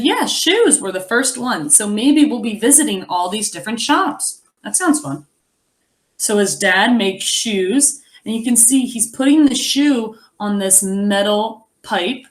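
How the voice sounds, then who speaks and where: A woman reading a picture book aloud as a teacher, expressively, pausing to explain and think aloud for young children. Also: mid-range voice.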